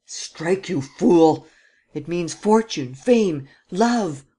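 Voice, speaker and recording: A woman's voice: low-pitched, moderate pace, a little mellow. A woman reading the part of a ruthless, commanding male nobleman in an audiobook, speaking with vehemence. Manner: imperious, vehement.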